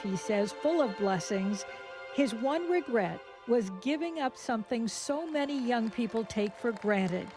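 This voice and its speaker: A woman reporter narrating a scripted television news feature in an earnest storytelling tone. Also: fairly high pitch.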